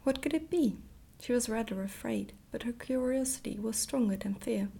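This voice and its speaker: A woman gently reading a storybook aloud in a hushed, whispered ASMR style. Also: a little high, normal speed, vocal fry, breathy edge. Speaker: storybook reader, ASMR creator.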